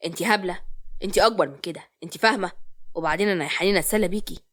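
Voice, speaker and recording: Woman voicing a lovestruck young Egyptian man, fast-talking. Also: lowish voice, a bit bright. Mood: insistent, tender. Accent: Egyptian Arabic. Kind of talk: acted Egyptian romance audio story.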